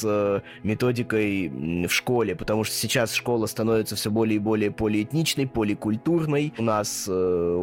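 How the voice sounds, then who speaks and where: Male guest expert, language teacher: unhurried, thoughtful explanation, frequent "э" pauses, faint music in the background. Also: fairly low pitch. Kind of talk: relaxed expert explanation in an interview.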